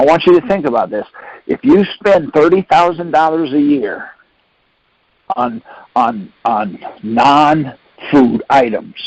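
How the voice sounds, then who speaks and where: A man's voice: medium pitch, darkish tone, dry recording. A man leading a conference call, giving an informal instructional talk in a folksy way, with rhetorical questions and frequent check-ins. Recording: dull lo-fi conference call audio.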